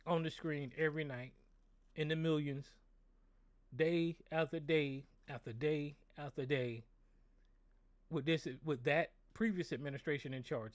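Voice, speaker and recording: A hoarse American man, a show host, ranting angrily and profanely, repeating himself and stumbling over words. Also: a little high, no room sound. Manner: angry, profane, repetitive. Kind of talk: political rant on a call-in show.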